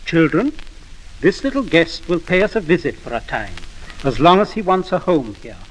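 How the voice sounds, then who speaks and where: A man's voice: on the high side, very animated, moderate pace, a little hiss or hum, low-quality recording. A man playing a kindly but firm father, reassuring and authoritative, in a 1940s radio drama.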